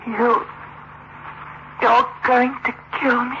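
Frightened woman, high voice, slow hesitant speech, 1960s radio drama, muffled recording. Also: level tone. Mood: frightened, shaken. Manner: hesitant, halting, fearful. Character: frightened, bewildered woman facing her killer. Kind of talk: 1960s radio suspense acting. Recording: dull, noisy old radio recording.

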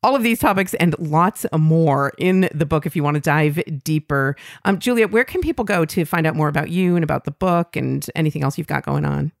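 A woman hosting a podcast, chatting warmly with guests and reading listener mail aloud. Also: deep voice, a little fast, gravelly, hardly any pauses, dry recording, studio quality. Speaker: podcast host. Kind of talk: casual podcast talk.

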